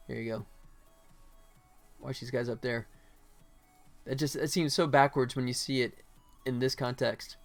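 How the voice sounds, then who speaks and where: A man's voice: mid-range voice, smooth. A man livestreaming as he works, thinking aloud in casual chat, sometimes muttering to himself. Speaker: livestream host, game animator.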